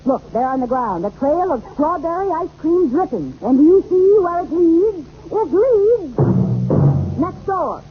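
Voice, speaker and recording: An American actress playing a vain, playful, wisecracking suburban wife in an old radio comedy. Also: female voice, very high-pitched, very animated, measured pace, faint music behind, heavily acted. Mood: playful sleuthing. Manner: theatrical, wisecracking. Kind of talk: 1940s-50s radio comedy acting. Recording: muffled, noisy old radio recording.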